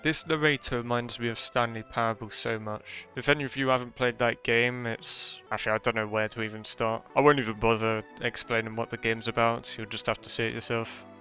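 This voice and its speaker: A man's voice: medium pitch, flat delivery, fairly quick, few pauses, sharp tone. A man giving casual gameplay commentary as a streamer, in chatty, offhand asides. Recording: faint game music behind.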